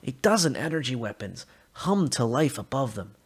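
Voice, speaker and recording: Brief: male narrator, animated, raspy, reading dramatically. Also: mid-range voice, moderate pace.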